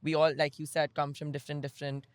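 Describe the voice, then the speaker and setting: A woman's voice: low voice, level tone, fast, no room sound. A young woman, chatting casually and thinking aloud with many questions. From India. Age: young adult. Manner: reflective, asks many questions, filler-heavy. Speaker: podcast guest. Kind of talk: casual group chat.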